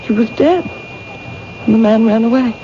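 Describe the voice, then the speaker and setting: A frightened, timid witness, played by a woman with a soft, faltering voice. The old radio broadcast sounds dull and is thick with hiss. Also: fairly high pitch, moderate pace, lightly acted. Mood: recounting. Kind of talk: 1970s radio drama acting.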